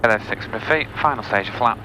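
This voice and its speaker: A man's voice: mid-range voice, quick pace. A man training as a pilot, calling out cockpit procedures and chatting over a headset intercom. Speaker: student pilot on a twin-engine training flight. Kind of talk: cockpit procedure callouts and chat with instructor. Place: small twin-engine aircraft cockpit in flight. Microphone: aviation headset intercom. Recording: very noisy cockpit headset intercom, engine drone.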